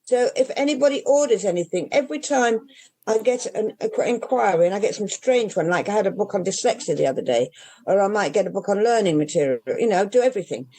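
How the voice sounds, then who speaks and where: Older english lady bookseller, warm mellow tone, keeps restarting and wandering off on asides. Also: mid-range voice, lively intonation, quick pace. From England. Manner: rambling, with many restarts and trailing asides. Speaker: independent bookshop owner. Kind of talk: casual interview chat.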